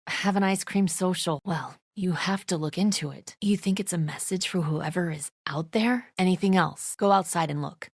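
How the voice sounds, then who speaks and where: A woman's voice: on the low side, normal speed, hardly any pauses, no echo, studio quality. A woman voicing a tense, suspicious and defensive leader of zombie apocalypse survivors in a video game, speaking bluntly with biting sarcasm. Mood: suspicious, curt.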